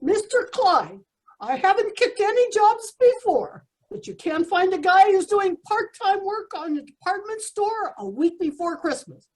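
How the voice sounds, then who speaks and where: A woman acts out a slangy, put-upon process-server fellow from a radio comedy. The voice is extremely high and bouncy, complaining in a streetwise way. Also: big pitch swings, a little slow, a little mellow, heavily acted, low-quality recording. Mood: fed up. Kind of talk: old-time radio comedy acting.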